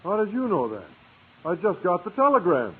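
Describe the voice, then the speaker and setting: An actor playing a mild, earnest American schoolteacher in a vintage radio sitcom. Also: male voice, high-pitched, wildly swooping pitch, normal speed, husky, exaggerated voice. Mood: puzzled. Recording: tinny old radio broadcast, faint hiss.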